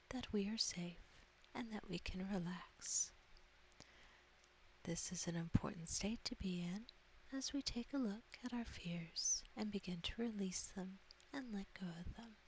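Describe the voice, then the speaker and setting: A woman softly guiding an ASMR meditation in a breathy near-whisper, gentle, soothing and deliberate. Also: medium pitch, low-quality recording. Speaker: guided meditation host. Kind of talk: soft-spoken ASMR guided meditation. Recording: faint background hiss.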